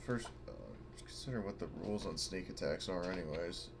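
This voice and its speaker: A man's voice: low voice, fairly quick, noisy background. A man playing a tabletop game, chatting casually in a blunt, sweary way. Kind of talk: casual banter during online game.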